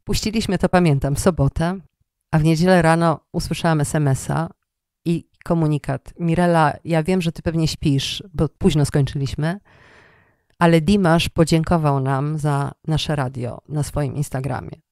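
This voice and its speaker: A woman's voice: low voice, fairly even intonation, normal speed, darkish tone, dry recording, studio quality. A middle-aged woman telling personal stories in a reflective, expansive way as an interview guest. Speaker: interview guest, storyteller and radio programme director. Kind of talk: conversational personal storytelling in an interview.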